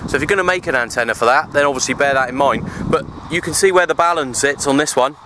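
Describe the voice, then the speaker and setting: A man's voice: medium pitch, fast. Englishman rambling over noisy outdoor audio. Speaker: radio hobbyist vlogger. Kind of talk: casual outdoor vlog chat. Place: outdoors, open parkland in wind. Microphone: handheld camera at arm's length.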